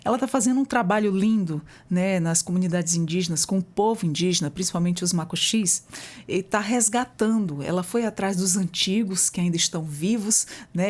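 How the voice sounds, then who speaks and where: Chatty, enthusiastic woman hosting an interview. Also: medium pitch. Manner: chatty and enthusiastic, with warm exclamations. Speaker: interview host. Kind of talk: conversational interview.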